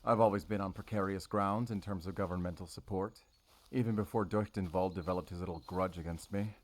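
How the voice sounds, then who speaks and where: A man playing a brooding, guarded werewolf hunter in an audio roleplay, speaking in a solemn, halting, intimate way to the listener. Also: deep voice, fairly even intonation. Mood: weary, resolute. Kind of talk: cinematic audio roleplay acting.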